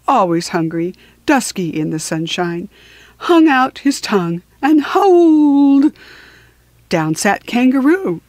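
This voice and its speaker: A woman's voice: medium pitch, a little mellow, no echo. A woman narrating a children's storybook expressively, with dramatic, rhythmic emphasis.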